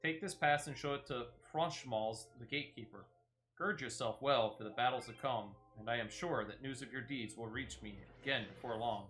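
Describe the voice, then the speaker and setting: A man's voice: medium pitch, a little fast, a bit bright, faint music behind, lightly acted, low-quality recording. A man voicing a stately, approving dignitary in a fantasy game, speaking formally and loftily. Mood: approving, solemn. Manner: formal, lofty. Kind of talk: video game quest dialogue read aloud.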